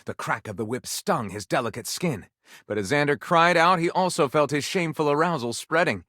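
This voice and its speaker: A man's voice: a little high, animated, fairly quick, sharp tone, no echo, clean studio sound. A man playing a theatrical, salacious game storyteller, narrating absurd melodrama in a grandiose, suggestive manner. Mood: melodramatic, gleeful. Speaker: game character voice actor. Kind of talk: dramatic scripted narration.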